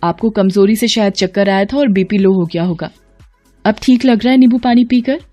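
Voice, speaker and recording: A woman's voice: mid-range voice, fairly quick, warm tone, faint music behind, close to an everyday voice. A woman voicing a caring, anxious young daughter-in-law, speaking earnestly and respectfully.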